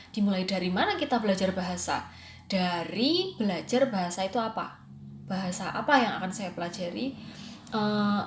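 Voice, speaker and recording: A woman with a Javanese regional accent in Indonesian, a schoolteacher giving an informal explanatory presentation, conversational, posing questions and answering them herself. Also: mid-range voice. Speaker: elementary school English teacher presenting coursework. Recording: roomy echo, faint background noise.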